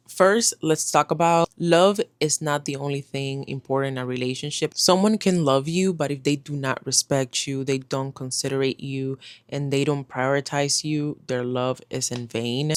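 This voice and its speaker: A woman in her twenties, a native Spanish speaker, talking casually to camera with many pauses and fillers. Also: sits low, clear, resonant tone, dry recording. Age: 20s. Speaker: vlogger. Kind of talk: casual talking-head vlog.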